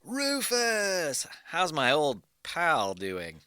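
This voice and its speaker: A man's voice: a little high, wildly swooping pitch, very slow, bright, forceful tone, smooth, no room sound, voice pushed up, heavily acted. A man voicing a sly, sardonic nineteenth-century male writer with dry, drawling sarcasm in a comic history story. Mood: mock friendly. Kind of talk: comic dramatized dialogue in a history story podcast.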